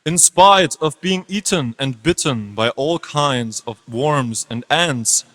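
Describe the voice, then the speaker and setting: Guy reading as majestic creator god, slow n solemn, big hall reverb, stage reading. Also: on the high side, lively intonation, fairly bright tone. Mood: solemn, benevolent. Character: majestic creator god Brahma addressing an ascetic. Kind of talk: amateur dramatic stage reading.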